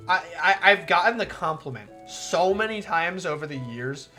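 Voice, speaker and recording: A woman streamer chatting casually while playing a visual novel, rambling and swearing, with a soft, relaxing voice. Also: lowish voice, lively intonation, normal speed, faint music behind. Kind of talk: casual livestream commentary over a visual novel.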